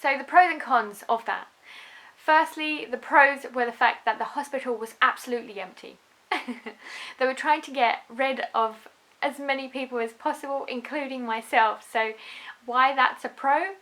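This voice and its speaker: A young woman with a faint Russian accent, softened by years in London, chatting earnestly to camera about becoming a mother, with frequent ums and small restarts. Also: sits high, moderate pace. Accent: Russian, softened by a London upbringing. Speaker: vlogger, new mother. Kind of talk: casual talk to camera.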